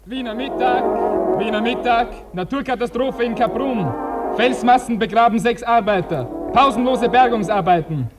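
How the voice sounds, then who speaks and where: An actor playing a street newspaper seller crying out headlines in a radio drama, with music behind him. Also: male voice, very high voice, normal speed. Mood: urgent, hawking. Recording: loud music under the voice.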